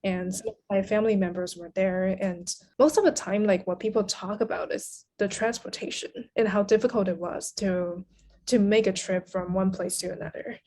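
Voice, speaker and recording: A woman from Taiwan with a soft-edged, mellow voice at a middling pitch. She talks casually as a guest on a podcast, discussing a book in a conversational way. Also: fairly even intonation, normal speed.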